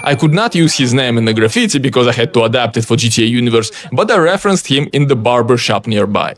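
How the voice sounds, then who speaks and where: A man's voice: mid-range voice, animated, fast talker. A man who speaks English as a second language, narrating a scripted game mod showcase as its creator, with playful, wry asides. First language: not English. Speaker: video creator and game modder.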